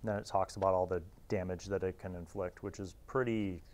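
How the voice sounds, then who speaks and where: A man, hosting a casual review in an informal, halting way and sometimes reading aloud. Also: deep voice, fairly even intonation, darkish tone. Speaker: host reviewing old gaming magazines.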